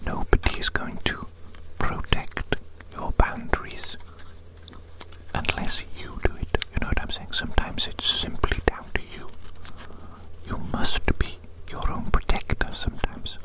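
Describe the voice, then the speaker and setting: A person whispering softly in an ASMR roleplay, gently reassuring and slowly repeating phrases. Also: low-quality recording. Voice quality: soft unvoiced whisper. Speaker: ASMR roleplayer measuring the listener.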